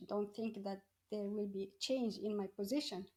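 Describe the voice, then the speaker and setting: A middle-aged woman tells her personal story in an interview, often halting and searching for words. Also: mid-range voice, fairly even intonation, darkish tone. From Morocco. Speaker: podcast guest, coach.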